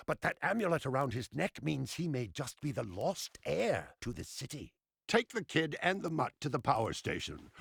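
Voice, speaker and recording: Gravelly, animated bossy leader. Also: male voice, a little high, no room sound, heavily acted. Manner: commanding, animated, wry. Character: brisk, bossy underground leader with sly humor. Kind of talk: video game cutscene acting.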